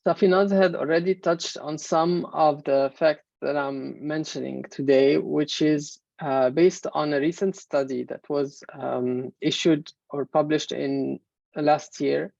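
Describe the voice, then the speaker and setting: A woman in her thirties from Egypt, a native Arabic speaker, giving a measured presentation in an online webinar with many fillers. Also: sits low, creaky, a little mellow, no room sound. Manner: measured, explanatory, frequent fillers. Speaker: main speaker. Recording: dull, muffled webinar audio.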